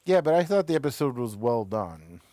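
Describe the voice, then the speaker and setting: A man hosting a show, chatting casually through reviews in a halting, stop-and-start way. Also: mid-range voice, sing-song, warm tone, no room sound. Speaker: show host reviewing television episodes.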